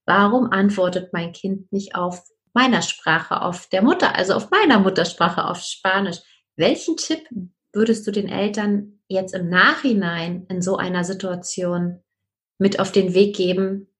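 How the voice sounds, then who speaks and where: A woman's voice: mid-range voice, unhurried, a bit bright. A woman interviewing a guest, asking long questions and listing several words for one idea.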